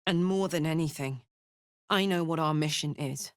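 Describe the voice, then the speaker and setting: A woman voicing a brash, warm-hearted fantasy warrior in a video game, blunt, informal and expressive. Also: low voice, moderate pace, no echo, studio quality, close to an everyday voice. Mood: warm, tender. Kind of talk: video game character voice acting.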